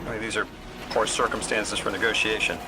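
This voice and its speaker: An actor playing an earnest, empathetic field negotiator in a sci-fi game, talking in a conversational, slightly hesitant way. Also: male voice, lowish voice, flat delivery, fairly quick, noisy background, lightly acted. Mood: concerned. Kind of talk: video game dialogue acting. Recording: very loud music behind the voice.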